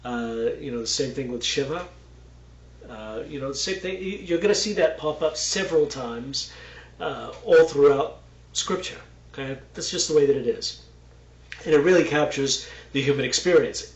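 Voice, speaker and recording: A man's voice: medium pitch, animated, moderate pace, echoey room, lo-fi sound. A man from Texas giving a casual, rambling Bible lesson with many fillers and asides. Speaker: Bible teacher.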